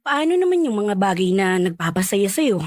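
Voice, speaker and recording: A woman's voice: mid-range voice, very animated, moderate pace, darkish tone, no room sound, clean studio sound, lightly acted. A young woman playing a nosy, frank, well-meaning friend in chatty radio drama dialogue, probing and giving blunt advice. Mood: pushy, concerned.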